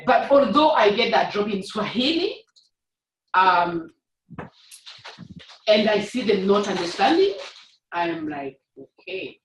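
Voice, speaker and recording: Halting woman, Kirundi native, far off. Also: medium pitch, sing-song. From Burundi. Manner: halting, repeats words. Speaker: interpreter trainee. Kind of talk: hesitant classroom discussion. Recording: roomy, distant, faint noise.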